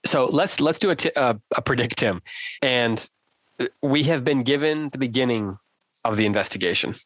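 A man's voice: mid-range voice, normal speed, fairly bright tone, no room sound, rough recording. A man hosting a casual book-club podcast, playfully guiding the talk with prompts and questions. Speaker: podcast host.

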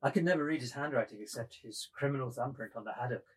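A woman playing a moody, cryptic young man in his twenties, brooding and husky, in a dramatised reading. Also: rumbling low, quick pace. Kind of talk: dramatised novel table reading.

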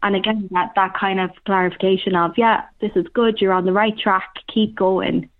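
A woman's voice: mid-range voice, little pitch movement, moderate pace, no room sound. A woman with an Irish accent from Cork, chatting informally and thinking aloud as a theatre director in a casual interview. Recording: very phone-like, narrow-band sound.

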